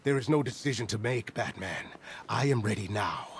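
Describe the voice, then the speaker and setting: An actor playing a solemn, zealous warrior in a video game, speaking formally and with intensity. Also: male voice, on the low side, normal speed, a little hiss or hum, natural voice. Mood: urgent, insistent. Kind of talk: video game cutscene acting.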